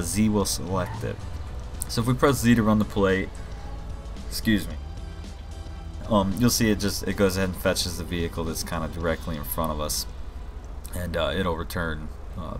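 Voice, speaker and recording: Low-voiced man commentating over game music. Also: fairly even intonation, moderate pace. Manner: informal, rambling explanations. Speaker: video creator demonstrating own game mod. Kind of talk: casual gameplay commentary with feature walkthrough. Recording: game music and vehicle sirens behind voice. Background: game music, vehicles, police sirens.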